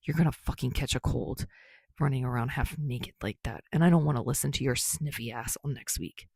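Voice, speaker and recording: A woman voicing a gruff, grumpy, foul-mouthed young man who scolds and growls in an audiobook story. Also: rumbling low, fairly quick, a little mellow, no echo, pitched below the performer's own voice, full character voice. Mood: gruff, embarrassed. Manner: scolding, growling, muttering curses.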